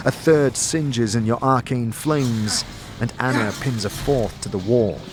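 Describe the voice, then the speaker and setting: A man narrating a scripted fantasy story in the second person, with a dramatic storytelling manner. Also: fairly low pitch. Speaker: narrator and game master. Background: music.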